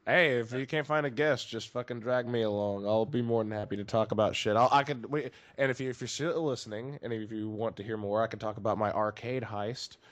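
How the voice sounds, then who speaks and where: Blunt man with a rough smoker's voice. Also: fairly low pitch, a little fast, few pauses. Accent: faint Tennessee. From Tennessee. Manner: blunt, rambling, many restarts. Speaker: podcast guest. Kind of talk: casual profane chat. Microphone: podcast microphone.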